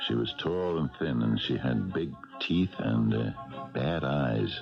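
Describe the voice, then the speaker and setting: An actor playing a wry, patient old police sergeant in a 1970s radio drama, musing and hesitant. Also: male voice, rumbling low, lively intonation, gravelly, full character voice. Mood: wry, reminiscing. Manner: hesitant, deliberate, musing delivery. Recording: dull vintage radio recording with music.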